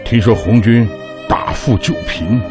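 A man's voice: mid-range voice, huge pitch swings, unhurried. A man playing a ninety-six-year-old Red Army veteran fondly recalling his youth in a radio drama. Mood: nostalgic. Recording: loud string music under the voice.

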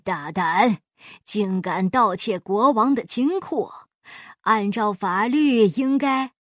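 A woman's voice: mid-range voice, animated, takes it slowly, no room sound, clean studio sound, pitched below the performer's own voice. A woman voicing an angry, stern male chief judge in a children's story, vehement in delivery. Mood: thundering.

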